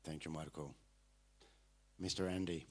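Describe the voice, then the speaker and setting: A man's voice: extremely low pitch, steady tone, a little slow, darkish tone. A French man moderating a festival panel, hesitant and full of fillers, speaking into a stage mic. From France. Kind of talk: panel discussion.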